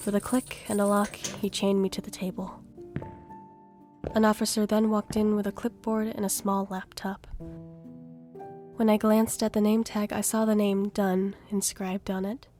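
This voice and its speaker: A woman playing a young gifted fugitive who narrates her own story in a measured, earnest audio drama style. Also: mid-range voice, little pitch movement, mellow, soft background music, close to an everyday voice. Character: young gifted female fugitive, wistful and plain-spoken. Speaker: narrator and lead. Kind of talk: scripted first-person audio drama narration.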